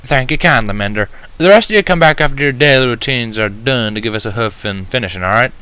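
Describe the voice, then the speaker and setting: A man's voice: medium pitch, animated, fast, bright, forceful tone, smooth. A man voicing a bossy but caring female country pony in a storybook reading, firm and folksy. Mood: caring, brisk.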